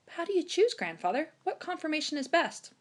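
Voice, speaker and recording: A woman's voice: high-pitched, sing-song, fairly quick, pitched above the performer's own voice. A woman voicing an eager, shy young boy with a hesitant, questioning way of speaking. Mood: eager, curious.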